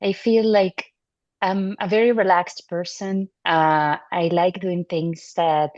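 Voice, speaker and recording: A woman in her thirties from Venezuela, a neurology resident, answering interview questions in a relaxed, thoughtful way with fillers and restarts. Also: on the low side, takes it slowly, no room sound. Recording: muffled dry audio.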